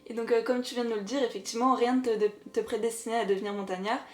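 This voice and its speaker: A woman's voice: fairly high pitch, fairly even intonation, rapid-fire, echoey room, faint music behind. A woman interviewing a guest for a podcast, asking prepared questions.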